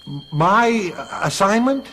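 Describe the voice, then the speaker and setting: A man's voice: high voice, expressive, unhurried, fairly bright tone, a little hiss or hum. An actor playing a nervous, deferential male subordinate in a radio drama, meek, defensive and apt to stammer. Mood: dismayed, flustered.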